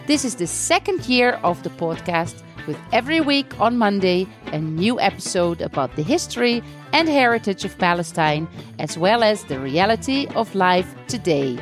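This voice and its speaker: A woman's voice: mid-range voice, very animated, moderate pace, soft background music. A woman from the Netherlands hosting a podcast, speaking in a conversational presenting style. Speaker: podcast host.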